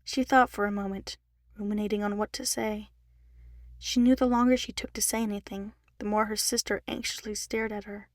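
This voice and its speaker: A woman's voice: medium pitch, fairly even intonation, a little fast, no room sound, studio quality. A woman reading scripted fiction aloud as a narrator.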